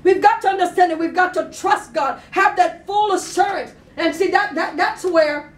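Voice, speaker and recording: Female preacher, very high voice, fervent and repetitive, emphatic delivery, recorded in an echoey room away from the mic. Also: normal speed, far from the mic. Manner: emphatic, repetitive exhorting, rising to shouts. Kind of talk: fervent Pentecostal-style sermon with call and response.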